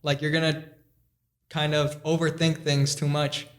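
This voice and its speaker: Earnest young man, slightly high voice. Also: little pitch movement, a little slow. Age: young adult. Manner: earnest, philosophizing. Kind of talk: casual chat. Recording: echoey room.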